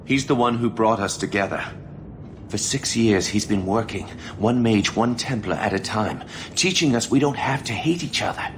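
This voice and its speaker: A man's voice: lowish voice, lively intonation, moderate pace, a little hiss or hum, close to an everyday voice. A man playing an anxious, earnest rebel conspirator in a video game drama, pleading and defensive. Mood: earnest, urgent. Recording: loud music behind the voice.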